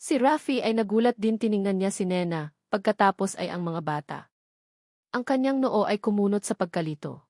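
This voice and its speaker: A woman's voice: medium pitch, lively intonation, moderate pace, a little mellow, no room sound. Filipino woman narrating a story, dry sound. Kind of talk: scripted romance story narration.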